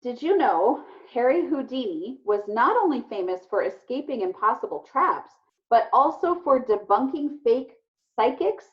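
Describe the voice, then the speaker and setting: A woman's voice: a little high, very animated, a little slow, voice pushed up. A woman voicing a bookish, skeptical child who explains and scoffs, matter-of-fact, in a children's storybook read-aloud. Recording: muffled, lo-fi recording.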